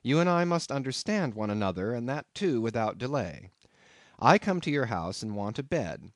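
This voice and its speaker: A man's voice: mid-range voice, very animated, normal speed, no echo, studio quality. A man reading an audiobook voicing an indignant young traveller who talks in formal, elaborate sentences. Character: indignant young male traveller, proper and wordy.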